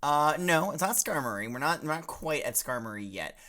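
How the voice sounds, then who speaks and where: A man playing a good-humored professor at the front of a mock classroom. His pitch rises and falls a great deal as he reads out letters and banters with his students. He hesitates often with fillers and laughs easily. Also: lively intonation, a little fast, sharp tone. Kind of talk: comedic improvised class roleplay.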